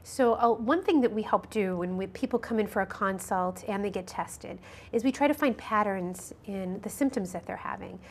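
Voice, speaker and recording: A woman's voice: medium pitch, moderate pace. A woman nurse practitioner answering interview questions about allergies on a health show, explaining with frequent ums and restarts.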